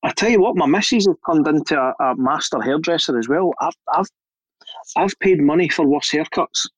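A middle-aged Scottish man talks as a podcast guest with a voice a touch higher than most men's. He tells his stories with dry, wry humour. The recording is dry and close, with no room echo. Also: a little fast, breathy edge. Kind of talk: casual podcast chat.

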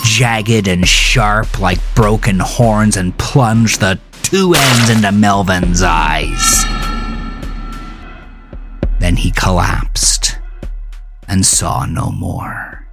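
A man narrating a scripted horror story in a dramatic, deliberate and intense manner. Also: lowish voice. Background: music.

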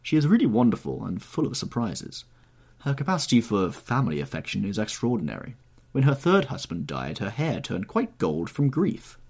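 A man voicing an urbane, cynical and witty gentleman in an audiobook, with polished, epigrammatic delivery. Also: medium pitch, wildly swooping pitch, moderate pace, creaky, husky, voice pushed up, exaggerated voice. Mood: dryly amused. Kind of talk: audiobook character voice.

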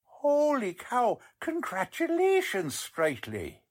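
A woman playing a plain-spoken male lawman in an old-time radio drama, with slangy, folksy, drawn-out speech. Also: on the high side, wildly swooping pitch, a little mellow, exaggerated voice. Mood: amazed.

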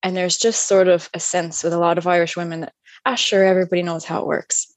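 A woman in her thirties from upstate New York with an American accent, telling her personal story as a podcast guest, thoughtful and trailing off softly. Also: lowish voice, level tone, fast, no echo. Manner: thoughtful, many fillers, soft trailing endings.

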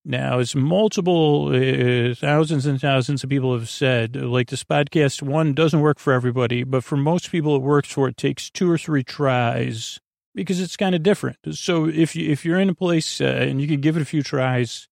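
A man hosting a podcast with an improvised bedtime monologue, talking in a hesitant, meandering, halting way. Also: medium pitch, gravelly, darkish tone, dry recording, clean studio sound. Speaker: podcast host.

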